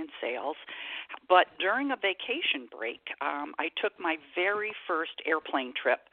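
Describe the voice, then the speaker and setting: An older woman from upstate New York tells her story as a radio guest, with a low voice and plenty of small fillers. The sound is dry and narrow, like a phone call.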